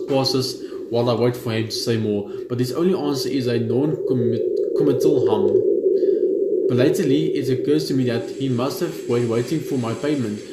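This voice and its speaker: Young male gamer, slightly high pitch, halting read-aloud narration, casual asides, echoey room, noisy background. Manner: halting when reading, stumbles over words. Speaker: gaming video host. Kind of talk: reading game text aloud with casual commentary. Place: indoor room at home. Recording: roomy echo, noticeable background noise.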